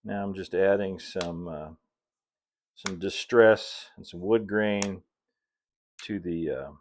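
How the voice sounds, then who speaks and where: Guy in his 50s, kinda low voice, easygoing folksy how-to talk while working on a hobby build. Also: slow, slight background noise. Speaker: hobbyist presenter of model railroad build videos. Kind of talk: casual hands-on tutorial narration. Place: indoor hobby workshop.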